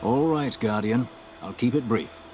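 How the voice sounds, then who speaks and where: An actor playing a seasoned British military scout in a game cutscene, polite, dry and understated. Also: male voice, mid-range voice, lively intonation, a little slow, noisy background, natural voice. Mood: grave briefing. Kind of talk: video game cutscene dialogue, mission briefing. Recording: music playing behind the voice.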